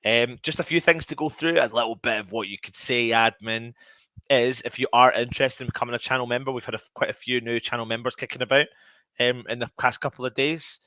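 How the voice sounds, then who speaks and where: A man hosts a casual live stream in a bright, forward voice, a little sniffly from a cold. He talks straight to viewers in a chatty, informal way. The sound is dry and close. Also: mid-range voice, steady tone, a little fast, no room sound. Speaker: host of a daily football fan channel show.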